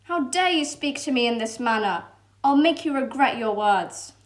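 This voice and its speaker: A woman's voice: sits high, lively intonation, moderate pace. A woman voicing a mean, greedy female fox who speaks haughtily and with menace. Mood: menacing.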